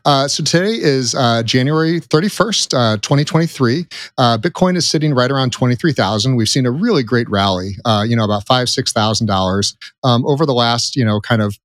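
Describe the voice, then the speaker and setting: A man's voice: medium pitch, lively intonation, a little fast, fairly bright tone, dry recording. A man hosting a podcast, opening casually and leaning on lots of "uh" and "you know" fillers. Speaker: podcast host.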